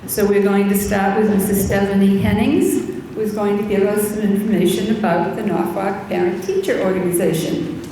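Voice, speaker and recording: A woman with a medium-pitched voice welcomes a gathered group and makes introductions as a school leader. Her voice rings in a large reverberant room, with faint noise behind it. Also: steady tone, normal speed, mellow. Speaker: school principal hosting a parent orientation. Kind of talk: welcome speech to an audience with introductions. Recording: echoey hall, noticeable background noise.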